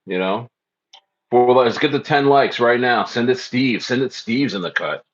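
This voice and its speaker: A man's voice: medium pitch, normal speed. A man hosts a crypto livestream in easy, rambling chat. He says lines twice and answers the chat as he reads it. His voice sits mid-range and comes through dull, lo-fi stream audio. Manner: loose and repetitive, echoes phrases, reads chat aloud.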